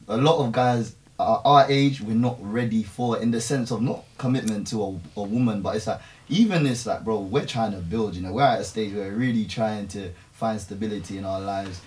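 A man's voice: fairly low pitch, moderate pace, a little mellow, recorded from a distance, low-quality recording. A man, rambling in a casual group chat with lots of slang fillers. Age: 20s. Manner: laid-back, rambling, heavy slang fillers. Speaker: podcast guest.

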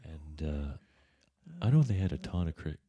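Deep-voiced man, lively rambling chat. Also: normal speed. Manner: rambling, swears, lots of fillers. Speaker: podcast co-host. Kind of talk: casual chat.

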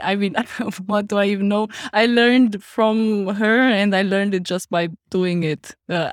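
A woman's voice: medium pitch, no echo, studio quality. A young woman with a Romanian accent, a guest chatting casually on a podcast and laughing often. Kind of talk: casual chat.

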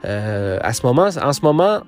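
A man's voice: medium pitch, big pitch swings, normal speed, a little mellow, soft background music. Quebec French man rambling on his podcast. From Quebec, Canada. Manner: rambling, many fillers and restarts. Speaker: podcast host. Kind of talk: casual solo podcast outro.

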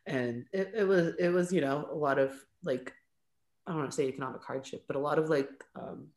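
Low-voiced woman in a roomy space. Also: darkish tone. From California and Lebanon. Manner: rambling, fills pauses with "like" and "um", laughs often. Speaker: podcast guest, artist and educator. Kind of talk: casual storytelling in an interview. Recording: roomy echo.

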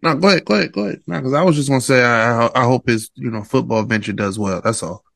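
Gravelly, relaxed male podcast host. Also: mid-range voice, fast talker, no room sound, studio quality. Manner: relaxed, agreeable, asks open questions. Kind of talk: casual podcast interview.

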